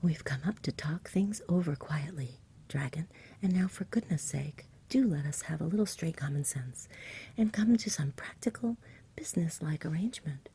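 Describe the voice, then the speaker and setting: A woman's voice: low-pitched, lively intonation, mellow, full character voice. Whispering, eager storybook boy, voiced by a woman. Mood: exasperated, practical. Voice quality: breathy whisper. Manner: brisk, no-nonsense. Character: eager, blunt, practical young boy.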